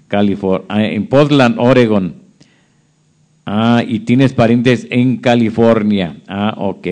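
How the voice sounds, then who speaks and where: A man's voice: lowish voice, a little mellow. A man hosting a live stream, chatting casually as he reads out viewer comments and reacts to them. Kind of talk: casual chat.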